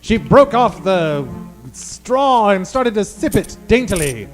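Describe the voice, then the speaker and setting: A male old-time radio announcer with a very high voice. He narrates in a theatrical, grandly suspenseful 1940s serial style, with light music behind him. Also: big pitch swings, takes it slowly.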